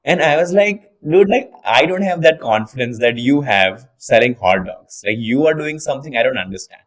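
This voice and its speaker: A man's voice: medium pitch, lively intonation, normal speed. Indian-born man hosting a casual podcast. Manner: thinks aloud with frequent repeated words and restarts. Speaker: podcast host. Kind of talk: casual interview chat.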